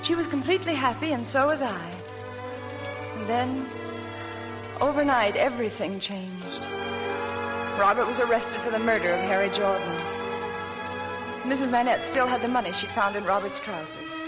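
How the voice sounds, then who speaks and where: An actress playing a gentle, devoted young wife in a 1940s radio drama, soft and earnest as she narrates. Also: a little high, moderate pace, long pauses, lightly acted. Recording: old 1940s radio broadcast with music.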